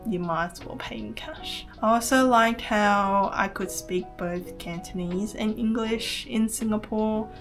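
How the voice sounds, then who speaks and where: A woman's voice: mid-range voice, unhurried, few pauses, faint music behind. Young woman narrating a travel vlog. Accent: American. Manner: earnest, recommending, reads list items. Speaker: travel vlogger. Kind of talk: scripted voice-over narration.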